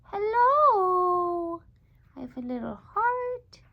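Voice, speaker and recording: A woman's voice: very high-pitched, very animated, very slow, dull audio, voice pushed up, full character voice. A woman voicing a tiny, cheerful bunny puppet with a bubbly, greeting manner. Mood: excited greeting. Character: tiny cheerful friendly bunny toy friend.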